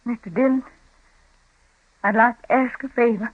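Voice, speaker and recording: An actress playing a weary older frontier mother on a vintage radio western, speaking softly in a plain rural dialect. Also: on the high side, moderate pace, no room sound, natural voice. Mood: grieving. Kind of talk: 1950s radio western acting. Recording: tinny, narrow old radio broadcast.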